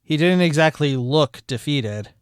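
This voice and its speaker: A man's voice: fairly high pitch, lively intonation, measured pace, smooth, no room sound, faint music behind. A man narrating a fanfiction story aloud with a dry, wry delivery.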